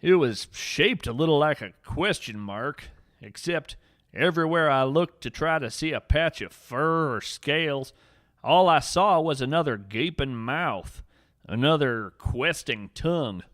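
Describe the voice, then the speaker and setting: A man's voice: a little high, lively intonation, a little slow, fairly bright tone, no echo, clean studio sound. A man playing an earnest, folksy naturalist who narrates scripted fiction podcast tales in a dramatic, wryly whimsical, literary way. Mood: awed, dramatic.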